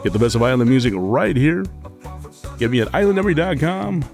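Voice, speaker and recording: A man hosting a casual island music radio show, talking in a relaxed, halting way with many fillers. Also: medium pitch, vocal fry. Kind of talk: casual talk show interview. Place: studio.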